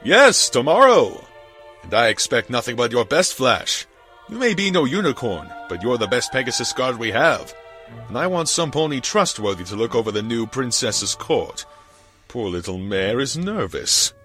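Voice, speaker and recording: An actor playing an arrogant, leering unicorn guard captain in a story reading, pompous and boastful. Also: male voice, mid-range voice, sing-song, moderate pace, pushed and bright, soft background music. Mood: commanding. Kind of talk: dramatised fan fiction reading.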